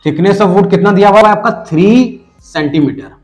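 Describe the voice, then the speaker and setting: A man with a hoarse voice from a cold, patiently explaining a math lesson step by step and talking straight to the viewer. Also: high voice, faint music behind. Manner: patient, addresses the viewer directly, repeats numbers slowly. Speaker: math teacher.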